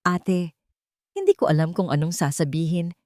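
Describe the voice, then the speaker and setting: A woman narrator acts out a gentle young nurse in a romantic audio tale. She sounds earnest, polite and unsure of herself, recorded very dry. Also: lowish voice, moderate pace, no room sound, close to an everyday voice. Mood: hesitant. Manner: hesitant, polite, pleading.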